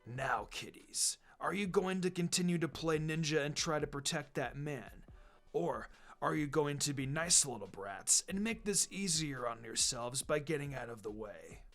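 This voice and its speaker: A man's voice: fairly high pitch, expressive, moderate pace, fairly bright tone, pitched below the performer's own voice. A man voicing a menacing, cocky swordsman villain in a story reading, smug and mocking with a taunting drawl.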